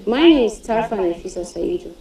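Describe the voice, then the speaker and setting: A woman's voice: mid-range voice, animated, brisk, warm tone, echoey room, recorded from a distance, poor audio. A woman contestant from the northeast, introducing herself earnestly. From northeastern Nigeria.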